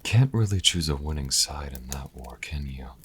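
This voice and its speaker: Man playing a very deep-voiced sassy vampire. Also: animated, moderate pace, a bit bright, breathy edge, full character voice. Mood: wry, musing. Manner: wry, leisurely, articulate. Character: sassy, scholarly male vampire, dryly hospitable. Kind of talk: roleplay audio acting.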